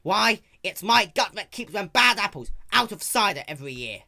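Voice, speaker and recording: A man's voice: high-pitched, big pitch swings, normal speed, vocal fry, bright, forceful tone, voice pushed up, full character voice. A man voicing a cranky, distrustful old granny pony, snapping in folksy phrases for a story reading. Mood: stubborn, angry.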